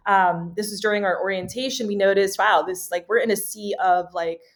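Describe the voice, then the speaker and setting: A woman from Miami tells stories as a podcast guest, lively and animated, often breaking into laughter. Her voice sits at an ordinary middle pitch and swings freely as she talks. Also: fairly quick. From Miami, USA. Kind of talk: casual storytelling chat.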